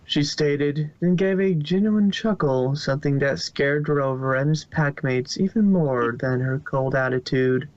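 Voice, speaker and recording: A man's voice: fairly high pitch, unhurried, few pauses, dry recording. Man narrating a story, slightly muffled. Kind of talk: amateur fan fiction story narration.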